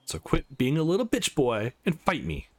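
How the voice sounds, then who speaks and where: A man's voice: fairly high pitch, huge pitch swings, normal speed. A man voicing a brash young female sparring partner in a visual novel, blunt and goading. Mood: taunting. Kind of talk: visual novel dialogue read aloud.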